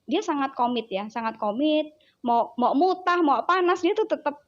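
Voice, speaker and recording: A woman's voice: high voice, lively intonation, moderate pace, muffled recording, a little hiss or hum. A woman with a Javanese accent, a child psychologist chatting as an interview guest, telling stories animatedly and acting out her child's words. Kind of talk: casual interview chat.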